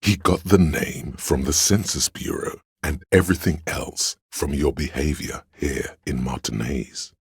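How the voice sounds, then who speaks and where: A male actor voicing a sly inner voice of drama in a video game, a scheming courtly servant with theatrical, archaic flourishes and a gravelly, husky delivery. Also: very deep voice, unhurried, hardly any pauses, no room sound, studio quality, heavily acted. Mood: shrewd, advising. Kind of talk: video game voice lines, addressing a liege.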